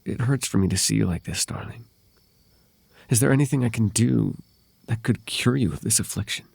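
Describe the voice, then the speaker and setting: Male actor as possessive fae prince, low husky voice, halting tender pleading, formal wording, intimate ASMR roleplay. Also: a little mellow, dry recording, exaggerated voice. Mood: tender, concerned. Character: possessive, lovelorn fae royal heir, male, pleading and anxious.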